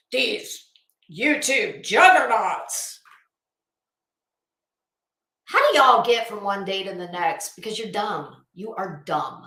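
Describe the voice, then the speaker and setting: A woman's voice: mid-range voice, fairly bright tone, reverberant. A woman delivering an opinionated online commentary rant, blunt, scolding, emphatic and sweary. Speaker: online commentary video host.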